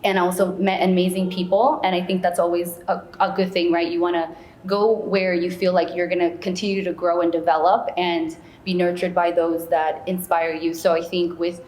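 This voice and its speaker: A woman's voice: lowish voice, level tone, moderate pace, a little mellow. A woman who grew up in the United States, answering as an interview guest in a chatty, conversational way with frequent small asides. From United States, born in Peru. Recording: echoey room, faint background noise.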